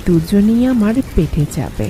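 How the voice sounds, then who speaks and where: Woman acting sly ghost girl, slow and swooping. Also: big pitch swings, dark tone, slight background noise, rough recording. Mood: sinister, gloating. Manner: pleading act, sinister low muttering when plotting. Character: sly hungry female ghost posing as a helpless young girl. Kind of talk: Bengali cartoon horror acting.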